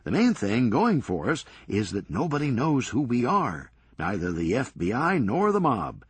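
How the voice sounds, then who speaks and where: A man voicing a cool-headed, reckless ringleader in a crime story, brisk and unbothered. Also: mid-range voice, wildly swooping pitch, husky, pitched above the performer's own voice, heavily acted. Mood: reassuring. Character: unflappable, cheerfully reckless male ringleader.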